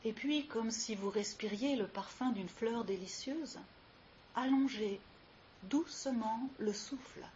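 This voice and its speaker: A woman leading a guided yoga relaxation as instructor, giving soothing, unhurried instructions with long pauses, recorded live. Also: fairly high pitch, darkish tone. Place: live session with ambient sound. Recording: muffled live recording with clear hiss.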